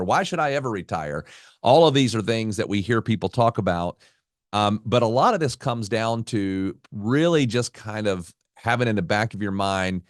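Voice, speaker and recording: A man hosting a finance podcast, welcoming listeners and talking in a relaxed way with some fillers. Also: fairly low pitch, no echo, clean studio sound. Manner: conversational, fillers. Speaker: podcast host.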